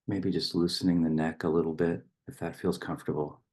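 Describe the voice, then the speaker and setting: A man reading poetry and leading a guided meditation in a gentle, unhurried manner with long pauses. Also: deep voice, little pitch movement, husky.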